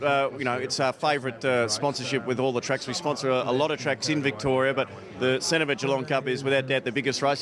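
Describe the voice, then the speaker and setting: An Australian man giving an upbeat promotional interview as a sponsor's representative. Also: medium pitch. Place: outdoors at a racecourse. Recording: outdoor event with crowd noise.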